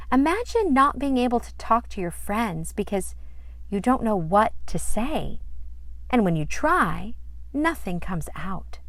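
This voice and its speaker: A woman playing a gentle, patient mother in a storybook, soothingly explaining things to her young child. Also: a little high, no echo, full character voice.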